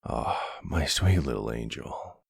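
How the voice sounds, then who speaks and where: A man acts out a silver-fox mafia boss in an intimate ASMR roleplay. His voice is extremely low and husky, and he takes his time over each soothing phrase. He is tender and protective, heard close and dry. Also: lively intonation, dry recording, full character voice. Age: middle-aged. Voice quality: gravelly, husky, breathy. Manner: soothing, unhurried, full of pet names.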